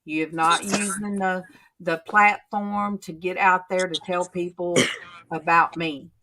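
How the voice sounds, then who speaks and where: A woman hosts a casual faith chat, telling homey stories at a slow, unhurried pace. Her voice is bright and forward, and her manner is chatty and drawn-out. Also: on the low side, steady tone, rough recording. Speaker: channel host.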